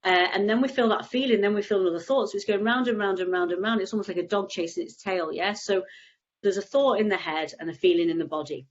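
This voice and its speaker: A woman's voice: medium pitch, fast. Woman with a cold, bunged up, chatty and rambling, kinda muffled call audio. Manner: chatty, rambling, frequent restarts. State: has a cold, stuffy nose. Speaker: EFT tapping practitioner, guest. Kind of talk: casual explanatory chat.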